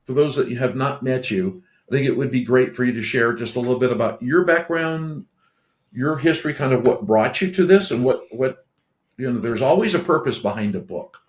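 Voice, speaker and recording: A man's voice: mid-range voice, a little fast. Rambling male podcast host in a roomy space. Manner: rambling, repeats words and restarts often. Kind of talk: casual interview chat. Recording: roomy echo.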